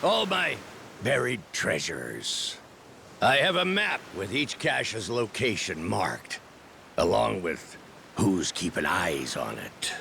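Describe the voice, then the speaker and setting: Gravelly pleading pirate captain. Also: male voice, lowish voice, big pitch swings, sharp tone, slight background noise, full character voice. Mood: nervous bargaining. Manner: pleading, halting. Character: captured male pirate captain, cowardly, bargaining for his life. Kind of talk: video game cutscene acting.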